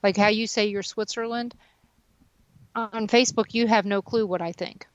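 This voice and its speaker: A woman's voice: mid-range voice, steady tone, moderate pace, no echo, muffled recording. A woman in her mid-fifties, talkative and self-deprecating, chatting casually as a radio co-host. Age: 50s. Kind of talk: casual chat.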